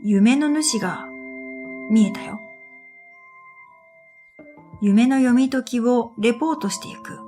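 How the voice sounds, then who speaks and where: A woman's voice: fairly high pitch, slow pace, pauses often, warm tone. A woman narrating a scripted first-person story for a radio drama in an introspective, matter-of-fact manner. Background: music.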